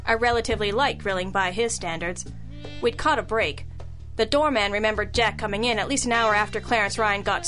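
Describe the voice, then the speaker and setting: Actress doing wry lady gumshoe, highish voice, old radio detective show, music under it. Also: fairly bright tone, natural voice. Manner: wry, slangy, hard-boiled. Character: wry, sassy female hard-boiled private detective, narrating and talking.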